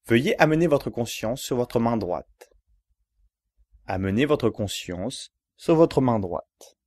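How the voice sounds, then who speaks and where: A man giving guided yoga relaxation instructions in a measured, repetitive, step-by-step manner. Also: mid-range voice, expressive, darkish tone, dry recording, clean studio sound.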